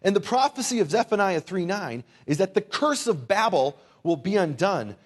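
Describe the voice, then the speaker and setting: Higher-pitched man teaching informally. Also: big pitch swings, moderate pace. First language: English. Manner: conversational, wry humour, rhetorical questions, asks listeners to repeat words. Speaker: Bible teacher and lecturer. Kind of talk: informal teaching talk to a live audience.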